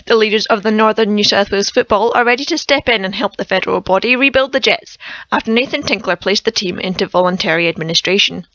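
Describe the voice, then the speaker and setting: A woman's voice: medium pitch, quick pace, a bit bright, dry recording. Aussie woman doing the radio sport read, dry sound, little bit muffled. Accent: Australian. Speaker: sports news reader. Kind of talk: scripted radio sports bulletin. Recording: slightly dull, muffled radio audio.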